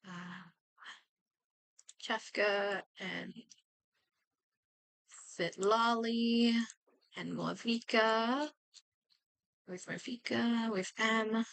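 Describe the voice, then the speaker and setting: A woman's voice: a little high, extremely slow, gravelly, pauses often, a bit bright, no room sound. A woman game streamer chatting casually as she plays, muttering and thinking aloud to herself. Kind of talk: casual livestream chat while playing a game.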